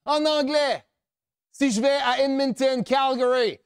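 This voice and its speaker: A middle-aged man with a New Brunswick French accent giving an animated motivational speech, asking rhetorical questions and switching between French and English. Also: very high-pitched, unhurried, clean tone, no echo, studio quality. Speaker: keynote speaker on French-language education.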